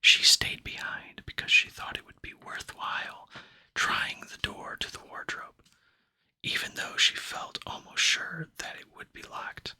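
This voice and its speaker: A man whispering an ASMR reading of a children's fantasy novel, gentle and unhurried, with pauses between phrases, close to the microphone. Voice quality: breathy unvoiced whisper. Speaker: ASMR book reader.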